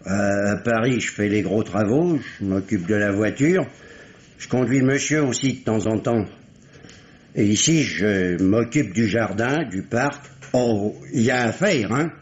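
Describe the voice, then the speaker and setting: A man's voice: fairly low pitch, a little slow, lightly acted. An actor playing a wary, plain-spoken handyman and gardener, hesitant and colloquial, in a French radio mystery play. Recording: muffled old radio recording.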